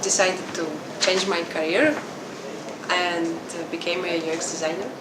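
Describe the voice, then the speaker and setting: A woman from Russia, speaking in a thoughtful, deliberate way. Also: on the low side, little pitch movement. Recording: echoey room, distant mic, background noise. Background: ambient noise.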